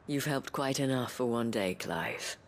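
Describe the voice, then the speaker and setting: An actress playing a gracious, worldly former courtesan in a video game cutscene, speaking softly and eloquently in a measured, genteel way. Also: female voice, extremely low pitch, slight background noise. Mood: gently grateful. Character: gracious worldly former courtesan, madame leading a fantasy town. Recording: music under the voice.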